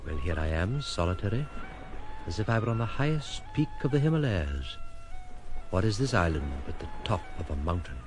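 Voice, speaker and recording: An actor playing a solitary, disillusioned island exile, speaking in introspective, rueful monologue in an audio drama. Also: male voice, low-pitched, very animated, fairly quick, over music. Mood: wry, reflective.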